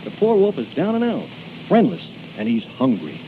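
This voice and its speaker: Animated, high-voiced man, vintage radio host. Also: moderate pace, noisy background. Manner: theatrical. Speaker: radio show host and announcer. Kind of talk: 1930s radio announcing and comic banter. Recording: tinny 1930s radio broadcast, loud music.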